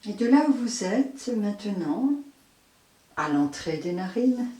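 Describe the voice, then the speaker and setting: Woman yoga teacher doing a guided relaxation, dark relaxed voice, echoey room, mic kinda far away. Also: medium pitch. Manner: measured, short phrases with long pauses. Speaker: yoga nidra instructor. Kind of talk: slow guided meditation. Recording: roomy echo, distant microphone.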